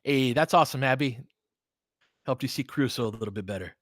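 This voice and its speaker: A man's voice: mid-range voice, quick pace, no room sound. Casual man calling basketball live, close dry sound. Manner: conversational, clipped play-by-play phrases. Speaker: livestream play-by-play host. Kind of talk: casual live basketball commentary with fan chat.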